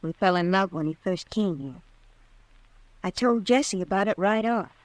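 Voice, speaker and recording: A woman's voice: medium pitch, normal speed, dry recording, lightly acted, rough recording. A woman playing a plainspoken frontier ranch woman with folksy Western speech, in old-time radio drama style. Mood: earnest, confessing.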